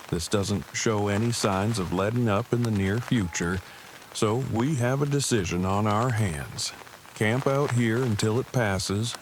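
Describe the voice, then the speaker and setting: A low-pitched male survivor, played by an actor in an audio drama. He talks in stiff, precise, wordy sentences with a dry, earnest formality. Faint rain sounds sit behind his voice. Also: a little hiss or hum, lightly acted, low-quality recording. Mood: deadpan. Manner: stiff, precise, long-winded wording, dry. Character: formal, verbose, earnest man surviving an apocalypse. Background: rain ambience.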